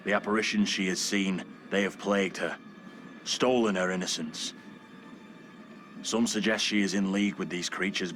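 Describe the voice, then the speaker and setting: An actor playing a troubled, hesitant villager bearing witness in a period video game drama, humble, earnest and formal. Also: male voice, sits low, fairly bright tone.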